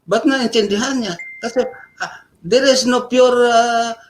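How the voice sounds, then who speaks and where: A man from the Western Visayas, a radio guest explaining things in a halting way with many pauses and fillers. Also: extremely high pitch, a little hiss or hum. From Western Visayas, Philippines.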